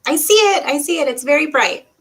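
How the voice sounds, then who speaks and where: A woman's voice: sits high, animated, fairly quick. A woman co-hosting a children's read-aloud as a librarian, chatting in an eager, agreeable way. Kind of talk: children's storytime chat.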